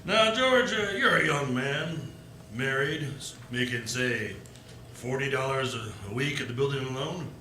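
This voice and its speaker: A bright, cutting voice for a domineering old moneyman played by a man in a radio play. He is condescending and calculating, quick to turn irritable. The sound is roomy, with faint noise. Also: medium pitch, expressive. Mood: oily, patronizing. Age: older. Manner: wheedling, condescending, irascible. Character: scheming, domineering old rich businessman. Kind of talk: live 1940s-style radio play acting. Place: live stage performance.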